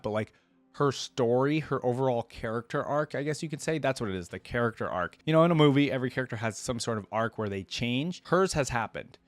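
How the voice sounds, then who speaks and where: Guy doing off the cuff reaction commentary, mid pitched voice, kinda rambly, thinks out loud, very dry close mic. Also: no echo. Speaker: reaction channel host. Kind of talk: casual unscripted commentary to viewers.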